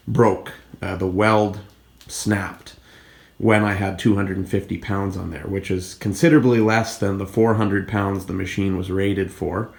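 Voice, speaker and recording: Stuffy-nosed guy with a cold, lowish gravelly voice, just casually explaining his gym gear. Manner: plain, explanatory, pointing things out. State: congested from a cold. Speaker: fitness channel host. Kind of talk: casual equipment walkthrough. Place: home gym.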